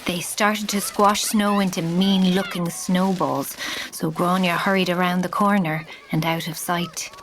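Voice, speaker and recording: A woman's voice: fairly low pitch, steady tone, measured pace, background noise, natural voice. A woman narrating a children's audio drama as a storybook narrator. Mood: storytelling.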